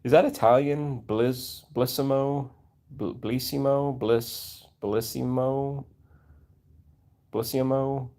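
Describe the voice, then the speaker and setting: A man's voice: medium pitch, fairly even intonation, takes it slowly, dark tone. A man in his forties hosting a casual cat livestream, rambling with fillers and restarts and talking to his cats between viewer comments. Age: 40s. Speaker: cat channel livestream host.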